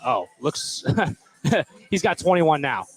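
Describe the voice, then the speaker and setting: An American man offers basketball analysis as a color commentator, in a voice a little higher than average. His delivery is informal and conversational, like chatting alongside a play-by-play partner. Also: big pitch swings, takes it slowly, faint music behind, low-quality recording. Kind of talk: casual live basketball analysis.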